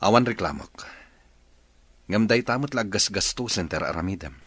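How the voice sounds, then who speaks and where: A man's voice: fairly low pitch, animated, normal speed, a bit bright, no room sound. A man playing an exasperated, reproachful husband in a Filipino radio drama, speaking with a Filipino accent.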